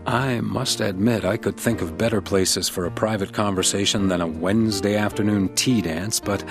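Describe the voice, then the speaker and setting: A man playing a dogged, polite hotel detective in a radio drama, measured and dry in questioning and narration. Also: on the low side, over music, lightly acted. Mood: wry, reflective. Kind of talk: radio drama dialogue and first-person noir narration.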